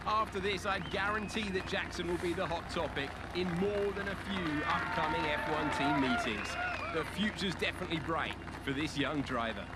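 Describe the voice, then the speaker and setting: High-voiced male race co-commentator. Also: moderate pace, a loud crowd, heavy background noise. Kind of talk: analytical sports commentary. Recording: loud music behind.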